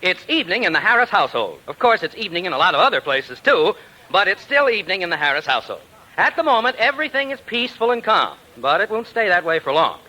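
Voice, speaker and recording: A man's voice: high voice, big pitch swings, fast talker, low-quality recording. A man with an American accent announcing a 1950s radio comedy in a showy, tongue-in-cheek manner. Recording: old radio broadcast recording. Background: loud music.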